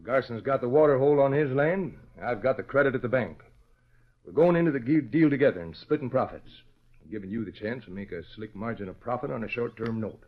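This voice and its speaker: An actor playing a lean, scheming rancher with plain western talk in a 1940s radio western. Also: male voice, mid-range voice, fast talker, natural voice. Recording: muffled vintage radio recording.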